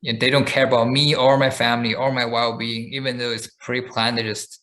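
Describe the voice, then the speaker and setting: A man with a voice of ordinary, middle pitch co-hosts a casual podcast. He talks in a relaxed, loose way, filling pauses with "uh" and restarting his sentences as he tells a story. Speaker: podcast co-host. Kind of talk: casual chat.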